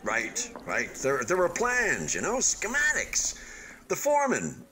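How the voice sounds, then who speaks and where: An actor in a radio play voicing an abandoned, unfinished hotel building, wistful and defensive, chatting in hesitant, earnest philosophical musings. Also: male voice, high voice, wildly swooping pitch, a little slow, sharp tone, heavily acted, poor audio. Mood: animated, wistful. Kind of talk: radio play acting, conversational monologue.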